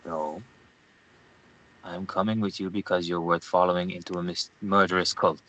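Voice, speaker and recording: An earnest, brooding local played by a man at a script table read. His voice sits very low yet has a bright, forceful edge. He comes across as loyal and serious. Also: flat delivery, normal speed, rough recording. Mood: dry, tender.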